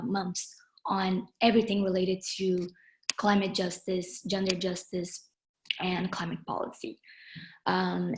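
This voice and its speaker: A young Brazilian woman, a native Portuguese speaker, introducing a webinar over a video call in a friendly way with many ums. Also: mid-range voice. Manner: friendly, many ums and uhs, slows on key phrases. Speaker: webinar moderator and founder.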